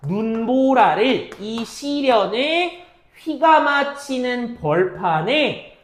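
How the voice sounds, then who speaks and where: A woman's voice: fairly high pitch, lively intonation, drawn-out words. A woman teaching exam-prep literature, firing off questions and answering them herself, mixing casual and polite speech. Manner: mixes casual and polite speech, checks understanding often. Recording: roomy echo.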